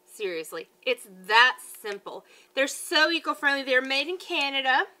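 A woman's voice: high voice, sing-song, moderate pace, pushed and bright. A woman in her thirties chatting casually through a product review, tripping over words now and then, recorded at home in a living room on a close webcam. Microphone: webcam at close range.

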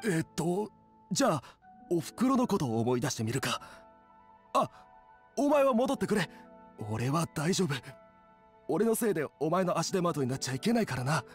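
A man voicing a nervous but determined young chef with rough, casual, hesitant speech in a Japanese game. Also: a little high, sing-song, normal speed, pauses often, soft background music. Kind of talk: Japanese anime game voice acting.

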